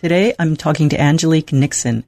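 A woman hosting an interview podcast, reading a measured introduction and asking questions with some hesitation. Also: low-pitched, a little mellow, dry recording, faint music behind. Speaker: podcast host.

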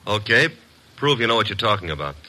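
A cocky male detective's sidekick from a 1950s radio drama. His lowish voice is very sharp and forward, with big swings in pitch. He talks in wry, slangy jabs. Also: big pitch swings, fast talker, exaggerated voice. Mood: pressing, insinuating. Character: cocky, wisecracking male private detective's assistant.

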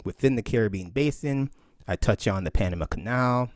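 A man in his thirties, an author answering interview questions in an animated way, laughing often and acting out quotes. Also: lowish voice, fairly quick, no echo. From Canada. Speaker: author and historian.

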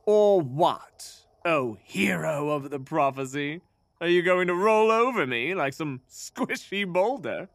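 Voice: mid-range voice, lively intonation, takes it slowly, no echo. An actor playing a pompous, cocky sorcerer who serves an empress, haughty and theatrical with flustered hesitations, in a fantasy audio drama. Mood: mocking.

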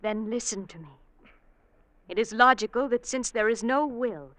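An actress playing a scheming farmer's wife in an old-time radio drama, persuasive and deliberate, speaking as if in proverbs. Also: female voice, fairly high pitch, fairly bright tone, no room sound, lightly acted. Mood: coolly persuasive.

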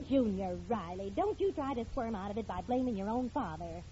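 A woman's voice: mid-range voice, animated, fairly quick, dark tone, natural voice. An actress playing a sensible, scolding housewife and mother in an old radio situation comedy, firm and exasperated. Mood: indignant. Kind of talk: 1940s-50s radio situation comedy acting. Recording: dull old radio recording, faint noise.

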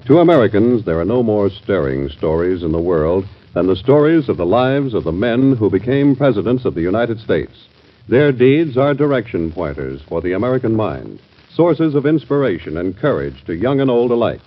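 A man's voice: fairly low pitch, very animated, moderate pace. A man reading a scripted introduction as a stately, earnest 1940s radio announcer. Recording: dull old radio recording.

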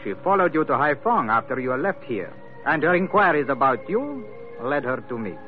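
An actor playing a suave, courteous villain with formal, hesitant politeness in an old radio drama. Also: male voice, on the high side, sing-song, moderate pace, soft background music. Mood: smooth, persuasive. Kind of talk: 1940s radio acting. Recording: tinny, noisy old radio recording.

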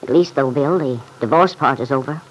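An actress playing a kindly, shrewd aunt in an old-time radio soap, gently prodding in a homely, measured way. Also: female voice, extremely low pitch, slight background noise, rough recording. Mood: kindly encouraging.

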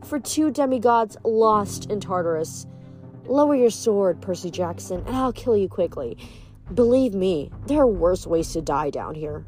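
A woman's voice: medium pitch, animated, a little slow, darkish tone, natural voice. A woman voicing a vengeful female vampire demon leader in a fantasy story, taunting and snappish, with music behind her. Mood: taunting menace.

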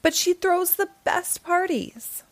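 A woman's voice: very high voice, steady tone, takes it slowly, no room sound, pitched above the performer's own voice. A woman voicing a cheeky, whiny young boy hero in a children's storybook read-aloud, complaining and answering back. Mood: protesting. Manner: complaining, exclamatory back-talk.

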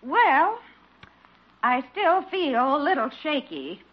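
A woman's voice: high-pitched, big pitch swings, takes it slowly, full character voice. An actress playing an earnest, fussy and sweetly stubborn woman on a hospital staff in a 1940s radio drama. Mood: meek, wounded. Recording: muffled old radio recording.

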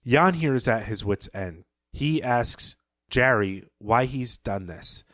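A man co-hosting a podcast, retelling the plot of a story plainly with quick asides. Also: medium pitch, lively intonation, a bit bright, no echo, studio quality. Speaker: podcast co-host. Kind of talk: recapping a story.

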